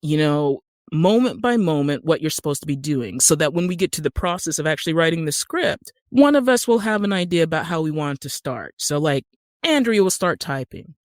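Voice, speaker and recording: A man's voice: a little high, lively intonation, brisk, no room sound, clean studio sound. An American man from Utah, a podcast guest who works as an actor and screenwriter, explaining things conversationally. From Utah, USA.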